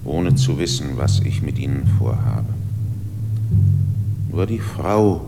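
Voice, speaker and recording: A gravelly menacing mountain spirit. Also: male voice, expressive, exaggerated voice. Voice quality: deep gravelly slow. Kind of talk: radio play acting. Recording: long reverb under loud music.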